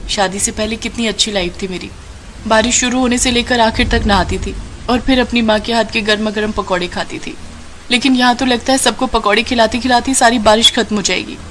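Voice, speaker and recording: A woman's voice: fairly high pitch, steady tone, brisk, a bit bright, slight background noise, voice pushed up, lightly acted, rough recording. A woman voicing a girlish, eager and dutiful young bride in a story. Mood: wistful. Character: cheerful, girlish young bride who loves rain.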